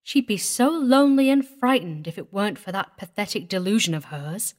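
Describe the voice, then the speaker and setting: Woman playing a gentle, knowing lady welfare visitor. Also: mid-range voice, lively intonation, brisk, close to an everyday voice. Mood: cheerful, knowing. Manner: gentle, cheerful, careless drawl.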